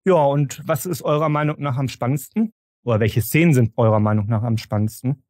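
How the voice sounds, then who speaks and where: A man's voice: mid-range voice, a little fast, a little mellow, no echo, clean studio sound. A man talking casually as he moderates and joins a review panel.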